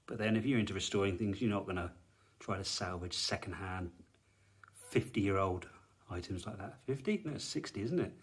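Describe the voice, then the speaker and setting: A man's voice: deep voice, fairly quick. Man doing a dry garage walkaround. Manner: matter-of-fact, dry understated remarks. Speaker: car restorer, channel host. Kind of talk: walkaround commentary while filming. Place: indoor garage workshop.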